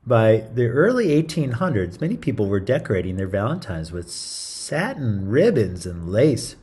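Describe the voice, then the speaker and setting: A man's voice: lowish voice, sing-song, normal speed, warm tone, slightly rough. A man reading a story aloud to children in a theatrical, playful style, with chatty asides. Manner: theatrical, playful, interjecting comments to young listeners.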